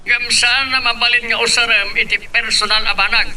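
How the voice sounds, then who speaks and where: A man's voice: very high voice, fairly even intonation, brisk, sharp tone, a little hiss or hum, heavily acted. A man acting a stern, commanding boss in an Ilocano audio drama. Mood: stern, scolding. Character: stern, domineering boss man ordering staff about.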